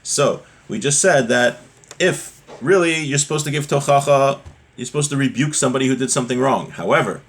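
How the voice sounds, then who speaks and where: Guy teaching a religious text, medium pitch, reads a bit then explains it in a chatty way, asks questions as he goes. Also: fairly quick. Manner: conversational, explains with rhetorical questions. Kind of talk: explanatory text-study lesson, reading and translating.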